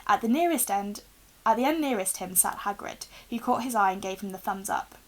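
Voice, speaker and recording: A young woman reads a storybook aloud in an expressive narrating style. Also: medium pitch, fairly quick, poor audio. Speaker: reader, teacher. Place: small room indoors with bookshelves.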